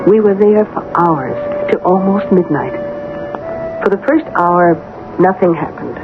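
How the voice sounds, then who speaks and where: An actress playing a brisk, sensible woman with deliberate dramatic phrasing, in the style of 1970s radio drama. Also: on the low side, animated, over music. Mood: eerie recounting. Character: brisk, sensible woman recounting an eerie experience. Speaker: actress playing narrator and lead. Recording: tinny, hissy old radio broadcast.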